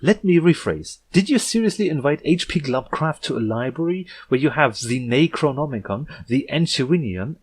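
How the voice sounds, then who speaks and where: A man's voice: a little high, husky, voice pushed up. A man voicing a frantic female pegasus author in a storybook, emphatic, agitated and exasperated to the edge of panic.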